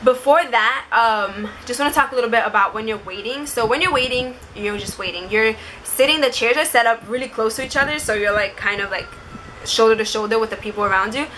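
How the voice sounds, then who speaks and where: A woman's voice: on the high side, brisk, pushed and bright, a little hiss or hum, rough recording. A young woman telling a story and giving advice in a casual, chatty vlog style, saying "like" constantly. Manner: chatty, informal, uses "like" constantly. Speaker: vlogger.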